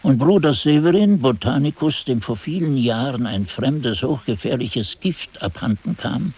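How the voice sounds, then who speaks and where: An actor playing an aged, forgetful monk who recounts haunting events in a radio play, muttering to himself and then exclaiming. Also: male voice, mid-range voice, lively intonation, mellow, breathy edge. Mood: grave recounting. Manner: halting self-talk, swinging from muttering to exclaiming. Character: aged monk chronicler, forgetful, haunted, musing over his manuscript. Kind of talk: dramatic radio play narration.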